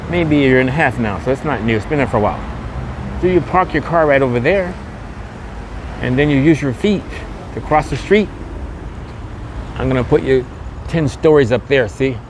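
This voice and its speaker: A man giving a casual walking tour commentary as a vlog host, with repetitive, promotional patter full of catchphrases, recorded outdoors on a busy street. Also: medium pitch. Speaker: vlogger hosting a walking podcast. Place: outdoors on a busy open-air mall street. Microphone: handheld phone camera. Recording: outdoor street noise, wind and traffic.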